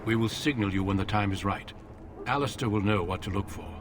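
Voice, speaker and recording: An actor playing a grave, dutiful older warrior mentor in a fantasy video game, measured and authoritative. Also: male voice, on the low side, lightly acted. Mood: grave, firm. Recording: clear background noise.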